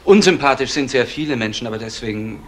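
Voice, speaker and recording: An actor playing a sceptical, matter-of-fact police detective in a German radio crime drama, with a dry, probing manner. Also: male voice, medium pitch, lively intonation, fast, lightly acted. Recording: faintly noisy old radio play recording.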